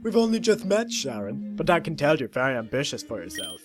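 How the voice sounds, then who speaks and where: A man's voice: mid-range voice, wildly swooping pitch, brisk, over music. A man voicing a friendly, easygoing teenage student in a visual novel, casual and amiable.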